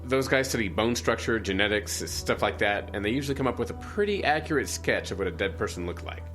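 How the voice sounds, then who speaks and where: Male actor as weary lawman, lowish voice, hesitant, plain-spoken, small-town, radio play, faint music behind. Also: lively intonation, quick pace, fairly bright tone. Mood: grim, explaining. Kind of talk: audio drama acting.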